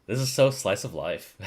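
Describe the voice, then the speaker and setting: A man streaming a game with casual, joking, excitable reactions and plenty of swearing. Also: medium pitch, breathy edge. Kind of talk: casual reaction commentary over gameplay.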